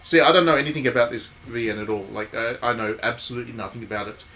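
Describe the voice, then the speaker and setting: A man's voice: medium pitch, racing, faint music behind. A man giving casual live commentary as he streams a game, rambling and thinking aloud. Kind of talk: casual live commentary on a visual novel playthrough. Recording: steady background noise.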